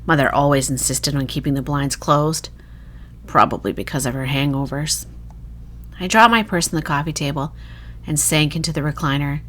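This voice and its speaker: A woman reading a first-person horror short story in a dramatic, emotive, hushed storytelling manner. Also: low-pitched, moderate pace, a little hiss or hum.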